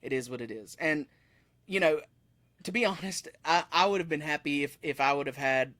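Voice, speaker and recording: Man, 30s, musician guest; loose, self-correcting storyteller, slightly raised pitch. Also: moderate pace, fairly bright tone, dry recording. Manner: rambling, self-correcting, laughs often. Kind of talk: casual interview chat. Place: room with metal posters behind him.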